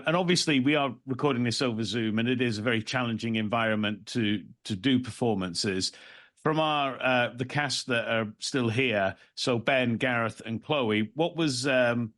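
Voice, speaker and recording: A man's voice: medium pitch, a bit bright, no echo, clean studio sound. A man hosting a podcast, interviewing guests in a conversational way. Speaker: podcast host.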